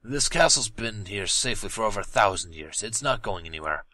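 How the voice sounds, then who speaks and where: A man voicing a calm, matter-of-fact male pony guard captain in his own low voice. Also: fairly quick, dry recording. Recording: loud music behind.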